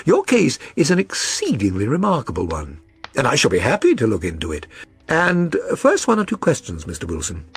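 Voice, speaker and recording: A man voicing a brisk, self-assured gentleman detective in an audiobook, precise, probing and authoritative. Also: mid-range voice, wildly swooping pitch, husky, pitched below the performer's own voice, exaggerated voice. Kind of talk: audiobook character reading.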